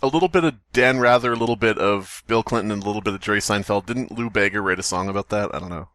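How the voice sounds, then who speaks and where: A man's voice: fairly low pitch, fast, fairly bright tone, no room sound, studio quality. A man co-hosting a podcast with casual, profane, sarcastic comedic banter. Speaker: podcast co-host.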